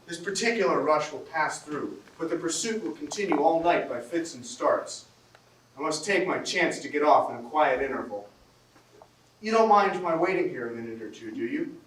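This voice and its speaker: A man's voice: a little high, expressive, moderate pace, fairly bright tone. A man on stage playing a weary, practical Swiss soldier in a classic comedy, wry, polite and self-deprecating. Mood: wry, courteous. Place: theatre stage, recorded from the audience. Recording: roomy, distant stage recording with hiss.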